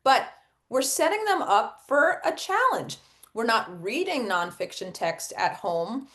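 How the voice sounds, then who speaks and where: A middle-aged woman presents an educational webinar in a fairly high voice. Her pitch moves in a lively, expressive way as she explains her points, often asking a question and then answering it. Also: measured pace. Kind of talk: instructional webinar presentation with acted read-aloud examples.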